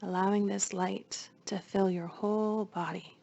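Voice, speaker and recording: Female meditation guide, soothing, hushed, breathy phrase endings, husky and creaky, unhurried delivery. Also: medium pitch, fairly even intonation, muffled recording.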